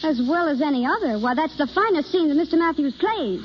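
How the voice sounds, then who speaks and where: A woman's voice: sits high, animated, fairly quick. An actress playing a shy, eager young acting pupil in a 1930s radio play, with an earnest, girlish, hesitant delivery. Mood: earnest, hopeful. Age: young adult. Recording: muffled, hissy 1930s radio broadcast recording.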